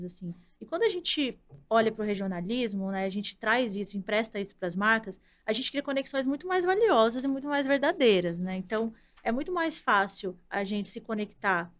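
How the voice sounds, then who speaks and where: A Brazilian woman in her thirties, a content director, explaining her views in a thoughtful, conversational way. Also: mid-range voice. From Brazil, from the countryside. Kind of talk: casual interview conversation.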